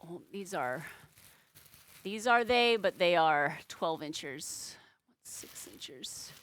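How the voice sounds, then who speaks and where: Playful woman with a bright, forceful voice. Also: lowish voice, expressive, extremely slow. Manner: playful, tongue-in-cheek, self-mocking. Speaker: balloon artist live-streamer. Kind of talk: casual live-stream banter.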